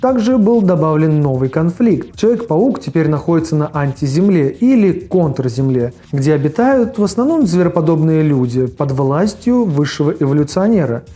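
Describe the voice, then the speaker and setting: A man's voice: fairly high pitch, very animated, normal speed, few pauses, dark tone, faint music behind. A man hosting a video review, reading casual scripted narration in a conversational way. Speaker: video host reviewing forgotten cartoons. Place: voice-over with faint music behind.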